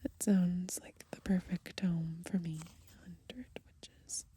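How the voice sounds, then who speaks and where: A young woman doing soft-spoken ASMR in a gentle, unhurried, breathy whisper, recorded close to the microphone outdoors. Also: lowish voice, steady tone, dry recording. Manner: gentle, unhurried, softly murmured. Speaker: ASMR video host. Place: outdoors on grass in light wind.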